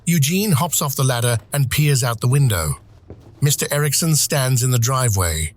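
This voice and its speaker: A man reading dramatic scripted narration for an audio drama, with measured, deliberate phrasing. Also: medium pitch, expressive. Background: music.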